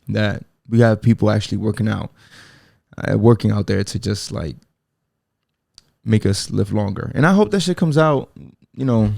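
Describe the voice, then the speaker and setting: A man's voice: on the low side, creaky, a little mellow, no room sound, clean studio sound. A man in his twenties hosting a casual solo podcast, rambling in laid-back slang with lots of fillers and restarts. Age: 20s. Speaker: podcast host.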